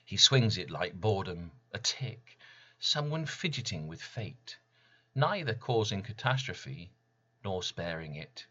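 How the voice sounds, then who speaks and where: Male poet, lowish pitch, firm bright tone, solemn and deliberate dramatic recital in short phrases, slightly dull sound. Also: very animated, slightly rough. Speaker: poet reading own work.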